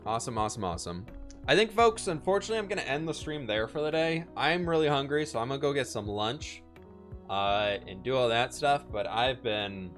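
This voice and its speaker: A man streaming a building game, upbeat and chatty, his voice a little hoarse, answering questions from chat. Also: medium pitch, animated, fairly quick, fairly bright tone, soft background music. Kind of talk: casual live-stream chat while building.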